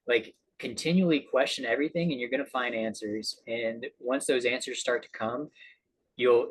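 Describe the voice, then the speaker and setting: A man's voice: mid-range voice, a bit bright, slightly rough, poor audio. A man giving casual motivational advice in an earnest, encouraging way as a guest speaker.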